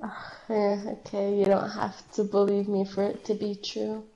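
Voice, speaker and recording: A young woman chatting casually over voice chat while playing a game, relaxed, with lots of fillers. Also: medium pitch, moderate pace, lo-fi sound. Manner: laid-back, lots of fillers. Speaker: player.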